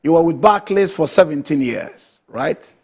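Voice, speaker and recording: A man's voice: a little high, lively intonation, moderate pace, slightly rough. A man with a Ghanaian English accent hosting a TV interview, asking questions in a formal, emphatic manner. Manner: formal, emphatic, addresses guest as madam. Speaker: TV show host.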